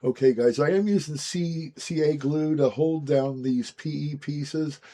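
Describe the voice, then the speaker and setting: Hoarse raspy-voiced man, mellow tone. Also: on the high side, a little slow. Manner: relaxed, chatty, frequent "guys" and "um". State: hoarse, recovering from lost voice. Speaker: scale model building host. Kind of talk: casual step-by-step building walkthrough.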